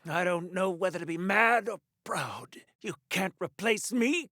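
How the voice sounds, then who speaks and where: An actor playing a bombastic, patriotic 1940s super soldier hero for a video game, with hammy, old-time radio serial announcer delivery. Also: male voice, high voice, animated, unhurried, sharp tone, no room sound, clean studio sound, heavily acted. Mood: dying, stubborn. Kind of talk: video game voice lines in golden age radio serial style.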